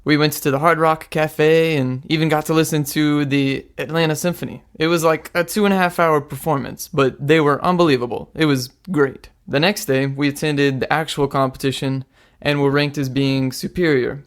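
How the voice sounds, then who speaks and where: A man's voice: a little high, steady tone, moderate pace. A teenage young man, a student giving informal interview answers. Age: teens.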